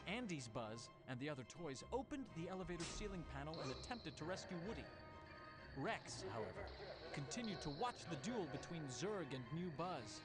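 A man reading a children's story as a dramatic narrator. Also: on the high side. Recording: music under the voice. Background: loud music.

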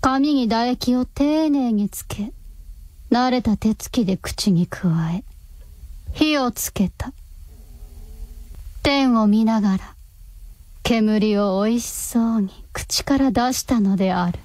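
A woman narrating a radio drama from a script in a measured storytelling manner. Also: a little high, darkish tone, dry recording.

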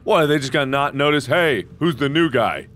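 A man with a hoarse voice giving jokey let's-play commentary into a headset, with sudden excited yelps. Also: mid-range voice, a little fast, a little hiss or hum. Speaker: gaming video host.

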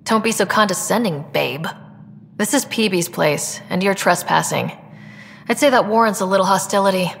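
A woman playing a steady young human explorer in a video game, direct, composed and protective. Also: mid-range voice, moderate pace, vocal fry, in a large hall, a little hiss or hum, lightly acted. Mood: firm, protective. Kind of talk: video game dialogue acting.

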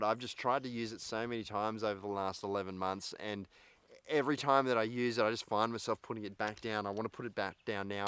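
Low-voiced man reviewing gear outdoors. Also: fairly bright tone. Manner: blunt, opinionated. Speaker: host of fishing gear review vlog. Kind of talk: casual product review to camera. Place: outdoors on a parked boat in a grassy yard.

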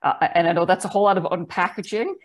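Host: woman from Newfoundland, slightly low voice, stumbling but eager delivery, earbud mic on a video call. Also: steady tone. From Newfoundland, Canada. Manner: halting, repeats words, enthusiastic. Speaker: podcast host. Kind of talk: interview hosting. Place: home office with bookshelves, indoors.

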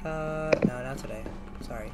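A man's voice: mid-range voice, moderate pace. A young adult man who co-hosts a gaming channel, chatting casually in a profane, joking way. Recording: game music behind the voice. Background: video game music.

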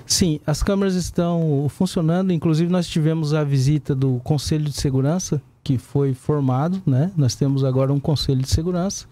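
A Brazilian man in his forties explaining things as a police commander in a studio interview, haltingly and with frequent tag questions. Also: a little high, fairly even intonation, warm tone. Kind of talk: live studio interview answers. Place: small indoor broadcast studio. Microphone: desk gooseneck microphone.

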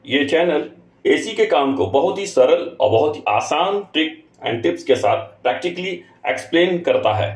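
A man's voice: on the high side, animated, measured pace, reverberant, rough recording. A man hosting a technical tutorial channel, giving a scripted introduction. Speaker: channel host, air-conditioning technician.

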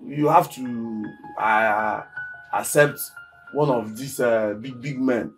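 An actor playing a poor, aggrieved young village farmer with a Nigerian accent, stammering and repeating himself in agitation. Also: male voice, fairly high pitch, lively intonation, normal speed, fairly bright tone. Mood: low, scheming. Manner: agitated, stammering repetition of words. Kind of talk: Nollywood film acting. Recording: background music under dialogue.

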